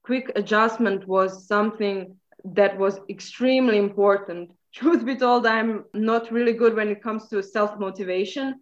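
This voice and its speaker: A woman's voice: medium pitch, steady tone, normal speed, muffled recording. A young woman from Croatia, an undergraduate speaking earnestly in an interview. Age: young adult. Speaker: undergraduate student guest. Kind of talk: casual interview answers.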